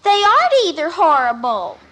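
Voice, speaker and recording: A woman's voice: very high-pitched, big pitch swings, normal speed, pushed and bright, full character voice. A female actor playing an eager, whiny, insistent little girl in a 1950s radio sitcom. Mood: whiny protest. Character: eager, pestering little girl who loves riddles. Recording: noisy old radio broadcast.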